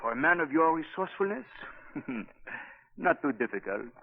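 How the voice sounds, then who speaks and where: An actor playing a menacing, insinuating police official in a 1940s radio drama, speaking in a measured way. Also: male voice, fairly high pitch, big pitch swings, full character voice. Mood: smugly threatening. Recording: tinny, phone-like old radio broadcast.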